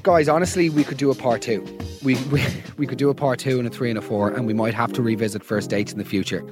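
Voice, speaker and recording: An Irish man hosting a comedy podcast, reacting to listener stories in an animated, exaggerated, mock-outraged way. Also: medium pitch, quick pace, loud music behind the voice. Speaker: podcast host.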